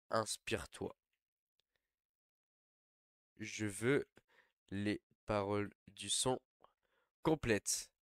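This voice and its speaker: A man's voice: on the low side, no echo, studio quality. A young man with a cold talking through a casual, rambling screen tutorial, full of fillers. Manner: relaxed, rambling with many fillers. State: stuffy from a cold. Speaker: tutorial host.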